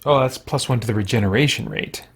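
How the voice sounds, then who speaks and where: A man's voice: medium pitch, quick pace, breathy edge. A man giving casual livestream game commentary, thinking aloud in short remarks. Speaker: video game streamer.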